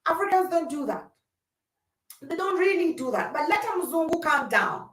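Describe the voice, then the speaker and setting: A woman's voice: high-pitched, big pitch swings, moderate pace, a bit bright, distant mic, slight background noise. A young woman, earnestly hosting a casual livestream and speaking directly to her viewers. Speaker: livestream host. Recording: roomy sound from away from the mic.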